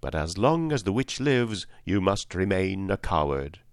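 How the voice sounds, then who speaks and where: A man's voice: low voice, big pitch swings, a little slow, no room sound, heavily acted. A man plays a great and mysterious fairy-tale wizard. He speaks with solemn, imperious authority, close and dry. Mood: stern. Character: mysterious commanding wizard speaking as a ball of fire.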